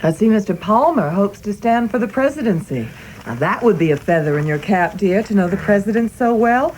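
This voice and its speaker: A woman's voice: medium pitch, animated, a little fast, a little mellow, slight background noise, natural voice, low-quality recording. An actress playing a proud, genteel older mother in a radio play, fond, composed and prim. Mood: gently probing.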